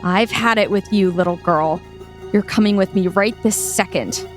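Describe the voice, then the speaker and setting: A woman's voice: medium pitch, normal speed, soft background music, natural voice. A woman acting in a satirical dystopian adventure audio play. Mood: menacing.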